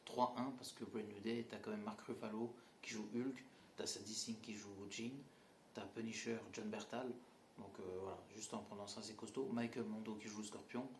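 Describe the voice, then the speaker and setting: A man's voice: lowish voice, steady tone, moderate pace. Guy ranting about movies, blunt and jokey, lots of "euh", echoey room w faint hum behind. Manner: blunt, mocking, many fillers and restarts. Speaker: movie reviewer. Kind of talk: casual spoken film critique. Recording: roomy echo, faint ambient noise.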